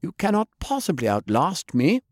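A man's voice: a little high, huge pitch swings, normal speed, dry recording, clean studio sound, pitched above the performer's own voice. A man voicing a haughty, menacing female ninja assassin for an audiobook, cold and formal in manner. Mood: haughty, hissing. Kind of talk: audiobook character acting.

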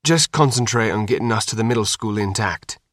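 A man's voice: on the low side, fairly quick, a bit bright, dry recording, studio quality, lightly acted. Man playing a terse, commanding father under pressure. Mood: terse, explaining. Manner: curt, clipped orders. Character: terse, urgent, commanding father preparing for disaster. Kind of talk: audiobook character dialogue.

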